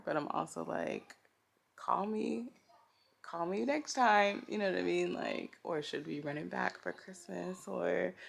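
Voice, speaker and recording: Low, creaky-voiced woman vlogging casually. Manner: conversational, candid, informal. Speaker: vlogger, costume designer. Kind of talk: casual personal vlog chat. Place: indoors at home.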